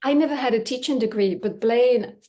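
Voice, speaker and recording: A woman's voice: on the high side, fairly quick, mellow. A woman from Finland whose first language is Swedish, a language teacher chatting casually and animatedly on a call, laughing often. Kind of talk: casual chat on a video call.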